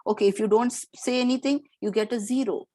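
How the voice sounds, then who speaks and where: A woman's voice: fairly high pitch, fast talker, mellow, dry recording. A middle-aged Indian woman giving an explanatory lecture to teachers, with fillers and restarts. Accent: Indian English.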